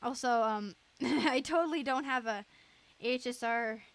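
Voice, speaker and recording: A woman's voice: on the high side, unhurried. A young woman live streamer chatting casually in a giggly, rambling way.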